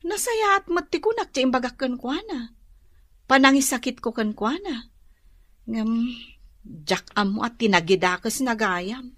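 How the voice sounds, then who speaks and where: A soft-hearted, wounded young woman in a radio drama. Her high voice has an Ilocano accent and a plaintive, troubled way of talking. The sound is very dry. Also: animated, measured pace, no echo. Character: hurt, soft-hearted young woman, worried and put-upon.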